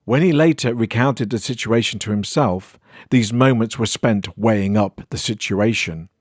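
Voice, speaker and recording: A man's voice: on the low side, normal speed, no echo, studio quality. A man with a British accent reading a weird horror story as scripted narration.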